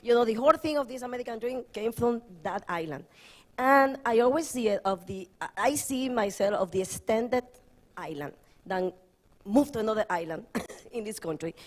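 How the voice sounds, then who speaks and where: A woman's voice: fairly high pitch, animated, moderate pace. Woman searching for words on a panel. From Dominican Republic. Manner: broken phrasing, searching for words. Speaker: panelist, artist. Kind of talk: panel discussion answer.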